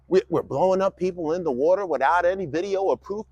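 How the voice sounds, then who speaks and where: A man's voice: high-pitched, quick pace, a little mellow, no echo, highs cut off. A man in his fifties delivering a casual, mocking political monologue with lots of rhetorical questions. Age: 50s. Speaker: vlogger giving a political speech.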